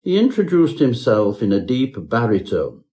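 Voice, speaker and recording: Mellow, pompous male storyteller. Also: mid-range voice, very animated, normal speed. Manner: pompous, mock-genteel, wordy storyteller. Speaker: narrator. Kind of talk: scripted parody Victorian ghost story narration.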